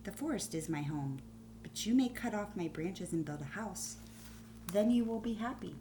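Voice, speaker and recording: A woman voicing a gentle, loving female tree in a children's storybook, with a tender, giving manner. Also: medium pitch, animated, moderate pace, slight background noise, pitched above the performer's own voice, natural voice. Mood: gentle, apologetic.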